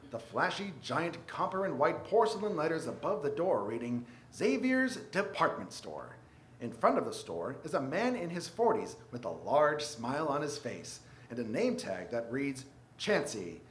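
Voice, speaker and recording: A man's voice: a little high, a little hiss or hum. A man reading scripted narration and sponsor spots as a radio drama announcer. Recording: roomy sound with loud music behind. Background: loud music.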